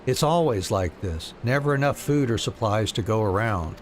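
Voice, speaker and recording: A man voicing a weary, earnest resistance quartermaster in a fantasy game, measured and a little formal. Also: fairly low pitch, animated, a little hiss or hum, natural voice. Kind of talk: reading fantasy game dialogue aloud.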